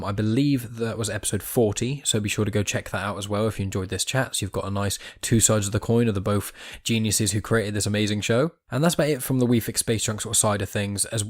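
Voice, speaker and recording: A man with a fairly low voice hosts a podcast in a casual, unscripted style. He talks fast and rambles chattily, tossing in self-deprecating asides. The sound is close and dry, with little room on it. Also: no room sound. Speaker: podcast host.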